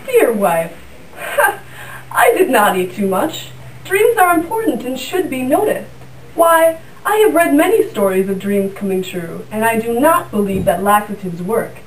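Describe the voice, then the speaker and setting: A woman's voice: a little high, sing-song, unhurried, a little hiss or hum. A young woman voicing a proud, vain male rooster in a class puppet show, with stately old-fashioned phrasing. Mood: tender. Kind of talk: school puppet show acting. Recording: roomy sound from a distant mic.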